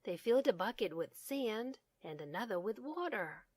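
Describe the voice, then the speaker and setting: A woman's voice: medium pitch, animated, moderate pace, dry recording. A woman narrating a children's story as a read-aloud. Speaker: storybook reader.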